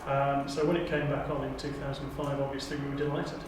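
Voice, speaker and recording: A man's voice: medium pitch, little pitch movement, quick pace. A man talking casually in an interview as an audition contestant. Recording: very echoey room, distant mic, faint noise.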